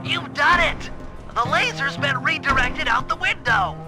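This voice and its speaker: A brainy cartoon sidekick in a video game, with an extremely high, nasal male voice. He explains plans in precise, earnest, wordy phrases. Music runs behind him. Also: unhurried. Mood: excited. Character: nerdy, brainy male cartoon turtle mission planner. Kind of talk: animated video game character acting.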